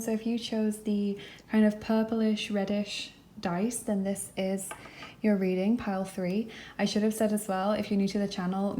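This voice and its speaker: A young woman with a croaky, dry throat giving a pick-a-card tarot reading at a table indoors, soft and reassuring with many fillers. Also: medium pitch, a little hiss or hum. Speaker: tarot reader.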